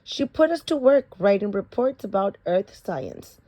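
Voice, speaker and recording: A woman's voice: mid-range voice, big pitch swings, unhurried, mellow. A woman reading a children's picture book aloud expressively, with the occasional stumble over a word. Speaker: storybook reader.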